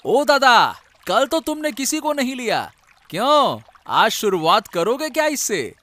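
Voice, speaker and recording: A man's voice: very high-pitched, big pitch swings, a little slow, pushed and bright, dry recording, exaggerated voice. A man playing a simple, honest, talkative younger boatman in a cartoon story, exclaiming and repeating his words. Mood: surprised. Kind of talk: Hindi cartoon story dialogue.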